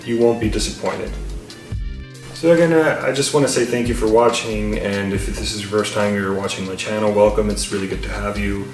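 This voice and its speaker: A man giving a casual, unscripted gear review as channel host, halting and rambling, often repeating his words. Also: on the low side, steady tone. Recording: roomy echo, distant mic, faint music.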